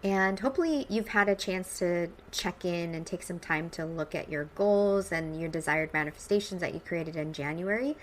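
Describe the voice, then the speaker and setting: An American woman in her forties speaks in a fairly low voice, as if addressing her regular podcast listeners. Her delivery is upbeat, informal and emphatic. Faint ambient noise sits behind the recording. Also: moderate pace, hardly any pauses. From United States, suburban Midwest. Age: 40s. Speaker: podcast host. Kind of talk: personal podcast monologue.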